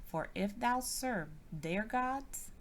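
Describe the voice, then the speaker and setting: A woman reads scripture aloud in a solemn, measured and deliberate manner, as a scripted narration. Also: a little high, lively intonation, a little hiss or hum. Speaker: scripture reader.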